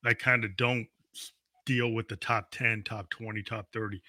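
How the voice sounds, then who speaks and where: Male host, fifties, bright tense tone, loose rambling interview style. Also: on the low side, little pitch movement, moderate pace, roomy sound. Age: 50s. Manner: rambling, restarts often, laughs easily. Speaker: radio show host. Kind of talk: casual interview chat.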